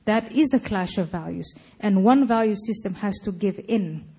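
A woman giving a public talk, arguing her case forcefully. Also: mid-range voice, lively intonation, moderate pace, slightly rough. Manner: forceful, argumentative. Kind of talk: lecture and debate answering.

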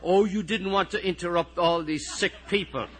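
An Irish man voicing a harried, exasperated high school teacher in a storytelling reading, loud and pleading with his pupils. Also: steady tone, moderate pace, slight background noise. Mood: weary, sarcastic. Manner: loud, pleading, repetitive questioning.

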